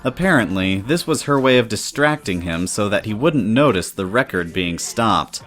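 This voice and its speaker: A man narrates a scripted story. His voice is on the low side, and music runs softly behind him as he tells it. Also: lively intonation, normal speed.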